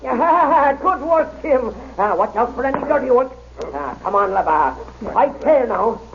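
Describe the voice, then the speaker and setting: High-pitched excitable brawl onlooker. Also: male voice, a little slow, vocal fry, exaggerated voice. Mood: excited, cheering. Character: excitable, cheering male bystander at cafe brawl. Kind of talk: old-time radio drama acting. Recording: noisy, muffled old radio recording.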